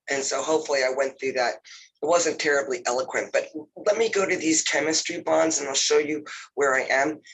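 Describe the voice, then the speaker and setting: A man's voice: on the high side, moderate pace, breathy edge, low-quality recording. A man with a cold and a nasal, congested voice, walking through a chemistry lab over a video call, using many fillers. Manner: relaxed, filler-heavy, says "you know" often. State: has a cold. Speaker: lab instructor.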